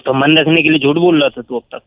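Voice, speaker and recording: A man's voice: a little high, steady tone, fast talker, dry recording, narrow, tinny audio. A man in a casual phone argument, talking bluntly and confrontationally. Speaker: prank call recipient.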